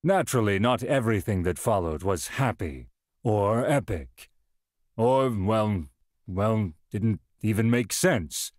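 An actor playing a solemn storyteller narrating a video game epilogue, grand and measured with wry touches. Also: male voice, on the low side, animated, darkish tone, dry recording, studio quality. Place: dry studio.

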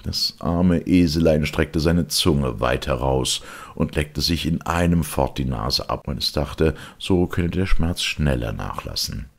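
A man narrating a children's storybook as an expressive audiobook reader. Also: extremely low pitch, unhurried, creaky.

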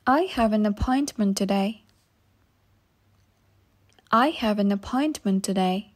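A woman reading short English practice phrases slowly and saying each one twice for learners. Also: mid-range voice. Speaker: English teacher.

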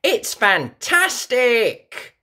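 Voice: medium pitch, wildly swooping pitch, very slow, pushed and bright, pitched above the performer's own voice, full character voice. A woman voicing a cheerful, eager little girl pig in a children's storybook. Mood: excited, gleeful. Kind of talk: children's storybook read aloud.

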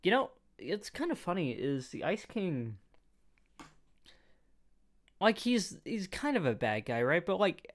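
A man's voice: high voice, sing-song, unhurried, pauses often. Young man giving laid-back casual commentary. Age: young adult. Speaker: reaction video host. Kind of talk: casual off-the-cuff reaction commentary. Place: small room indoors at home. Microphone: close desk microphone, headset worn.

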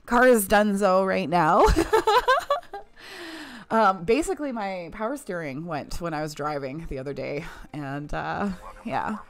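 A woman's voice: fairly low pitch, a little slow. A young woman streaming a mobile shooter, chatting casually with viewers and laughing often. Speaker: game streamer. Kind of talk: casual live-stream chat while playing.

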